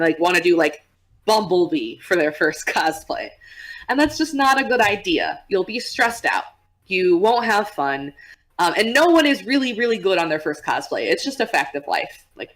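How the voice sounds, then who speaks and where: A young woman with a low, easygoing voice that carries a soft rumble. She talks in a loose, chatty way, laughing often as a podcast guest. Her pitch sits a little under most women's. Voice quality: low voice with a relaxed vocal rumble. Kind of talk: casual podcast interview.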